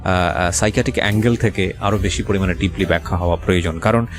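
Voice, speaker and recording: A man with a Bangladeshi accent hosting a radio interview, speaking in a measured, reflective way and mixing in English words. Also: low-pitched. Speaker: radio show host. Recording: music playing behind the voice. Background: clear background music.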